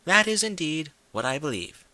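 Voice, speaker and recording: Storybook casting: male reader as refined lady pony, raised pitch, bright tone, genteel and gently teasing. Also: big pitch swings, normal speed, dry recording, heavily acted. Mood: earnest. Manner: genteel, formal diction with French flourishes.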